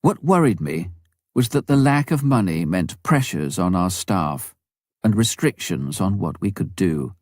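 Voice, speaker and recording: A man narrating a memoir from a script, reading in a measured, deliberate way with pauses. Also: deep voice, lively intonation, darkish tone, no room sound, clean studio sound. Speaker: audiobook narrator.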